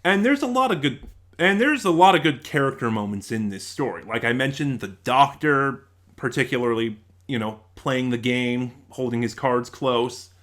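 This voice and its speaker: A man's voice: mid-range voice, expressive, normal speed, a bit bright. A man giving a casual, unscripted review, thinking aloud and correcting himself as he rambles along. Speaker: YouTube audio drama reviewer.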